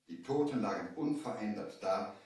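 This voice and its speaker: A man's voice: fairly low pitch, expressive, normal speed, a little mellow, far from the mic, natural voice. An actor playing an earnest merchant from Baghdad who narrates his adventure in a dramatic vintage audio play. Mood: grave narration. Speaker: narrator and lead character. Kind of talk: scripted storytelling, 1970 audio drama. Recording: echoey vintage LP, off the mic.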